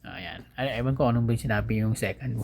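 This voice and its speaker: A man's voice: mid-range voice, quick pace, vocal fry, darkish tone, soft background music. A man with a Filipino accent giving a casual unboxing and review, informally explaining things step by step to his viewers. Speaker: vlogger, product reviewer.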